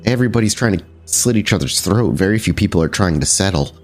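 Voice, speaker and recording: A man voicing a wary, caring female vampire who speaks in halting, earnest bursts with many restarts. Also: deep voice, lively intonation, soft background music. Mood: worried, candid. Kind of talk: in-character roleplay dialogue.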